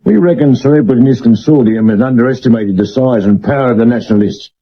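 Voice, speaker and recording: A man's voice: mid-range voice, fast, lightly acted. A man acting a dour, plain-spoken Victorian London police sergeant with a British accent and a dry, respectful manner, in a 1970s radio drama. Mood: dry, factual. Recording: dull medium-wave radio recording.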